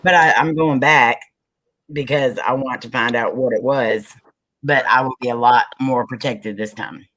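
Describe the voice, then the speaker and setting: A woman with a low voice, a paranormal investigator, telling a story on a group video call with many ums and restarts. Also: fairly even intonation, normal speed, a bit bright, low-quality recording. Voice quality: low, manly-sounding voice. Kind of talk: casual group video chat.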